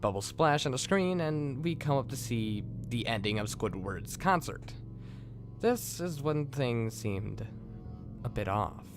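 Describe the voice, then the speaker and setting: Male creepypasta narrator and host, mid-pitched, animated delivery, faint music bed. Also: measured pace. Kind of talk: scripted creepypasta story narration.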